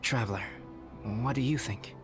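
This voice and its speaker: Guy voicing calm young scholar type from a game, formal, understated, music behind him. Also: mid-range voice, normal speed, natural voice. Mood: composed. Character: calm, refined young male artist-scholar, reserved. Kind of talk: animated video game character acting.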